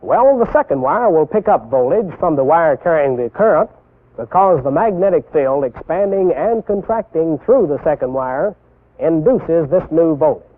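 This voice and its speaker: A young American man giving scripted instructional narration as a military instructor, deliberate and didactic, posing rhetorical questions. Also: on the high side, expressive. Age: young adult. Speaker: military instructor presenting a training film. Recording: tinny band-limited 1960s film soundtrack.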